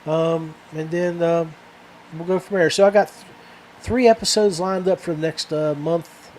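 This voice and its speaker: A man with a slightly high voice chats casually as a podcast host. He rambles and halts, repeating small words as he feels his way through sentences. Clear background noise sits around the voice. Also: fairly even intonation, moderate pace, darkish tone, rough recording. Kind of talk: casual solo podcast chat.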